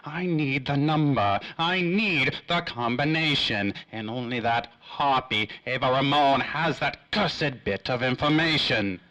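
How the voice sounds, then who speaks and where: A man playing a menacing, theatrical crime boss with a grandiose, deliberate delivery in 1930s radio play style. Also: mid-range voice, pushed and bright, low-quality recording. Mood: imperious.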